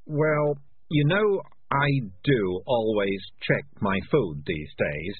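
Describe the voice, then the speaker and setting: A man voicing a selfish, guilt-ridden talking tomcat in a storybook, raised and defensive with drawn-out words, recorded with a phone-like narrow sound. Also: on the low side, expressive, slightly rough, no room sound, full character voice. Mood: uneasy.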